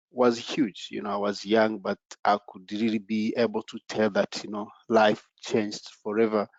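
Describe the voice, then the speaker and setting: A man with a low, even voice that barely rises or falls, speaking over a dull, muffled video call. The sound is dry and close, with no room around it. He talks in halting bursts, leaning on "uh" and "you know" between phrases. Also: no echo. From Democratic Republic of the Congo, raised in Uganda. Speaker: panelist. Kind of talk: personal story on an online panel.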